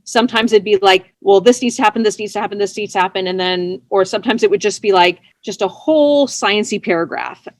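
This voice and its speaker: A woman's voice: mid-range voice, brisk, few pauses. A woman chatting casually as an interview guest, a writer with a self-deprecating, chatty manner. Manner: chatty, self-deprecating, often laughing lightly as she talks.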